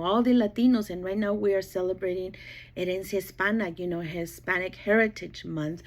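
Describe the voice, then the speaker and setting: A woman's voice: lowish voice, darkish tone. A middle-aged woman from El Paso, Texas, bilingual in Spanish and English since childhood, casually introducing herself to viewers in a chatty, rambling way. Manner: chatty, rambling, often checking in with "okay" and "you know". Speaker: YouTube cooking channel host.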